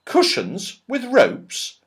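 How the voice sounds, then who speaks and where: Man putting on a young girl, high-pitched, expressive, animated, children's storybook. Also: extremely slow, heavily acted. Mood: astonished, joyful.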